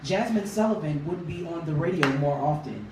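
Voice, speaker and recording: A man with a high voice, a guest singer talking casually in a radio interview. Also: fairly quick. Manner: relaxed, chatty, laughs easily. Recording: roomy, distant mic, faint noise.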